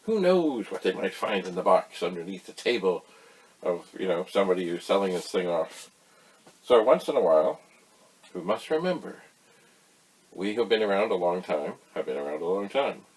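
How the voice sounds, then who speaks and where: A man giving a casual, folksy explainer, rambling through anecdotes with emphatic pauses. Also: sits low, sing-song, darkish tone, husky, low-quality recording. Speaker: host of a retro computer tutorial channel.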